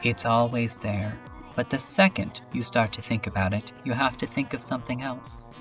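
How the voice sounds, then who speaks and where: A man playing a haunted, weary survivor who narrates a lonely horror story in a brooding, introspective, halting way for a fan dub. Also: medium pitch, fairly even intonation, a bit bright, recorded from a distance, highs cut off, soft background music, natural voice. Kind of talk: dramatic first-person narration in a fan dub.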